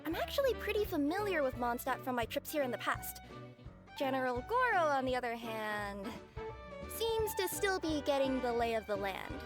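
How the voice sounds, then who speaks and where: Perky, high-voiced young courier girl. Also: big pitch swings, moderate pace. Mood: cheerful. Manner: cheerful, businesslike, playfully polite. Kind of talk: video game voice acting. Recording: background music under voice.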